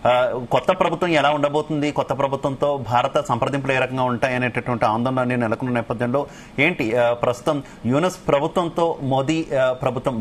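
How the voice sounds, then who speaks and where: A man's voice: medium pitch, slight background noise. A man anchoring live news and putting long, rolling questions to a correspondent.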